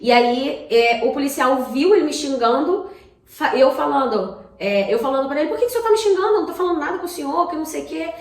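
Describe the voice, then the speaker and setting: Higher-voiced Brazilian woman, echoey room. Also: lively intonation, fast talker. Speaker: talk show co-host. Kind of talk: casual conversation, personal anecdotes.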